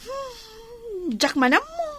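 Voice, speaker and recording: Radio drama gossip played by a woman, high-voiced and slow-paced, playful, incredulous manner. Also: big pitch swings, a crowd in the background, heavily acted. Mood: teasing, incredulous. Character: gossipy, teasing young woman at a new lodging business. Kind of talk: Ilocano radio drama acting.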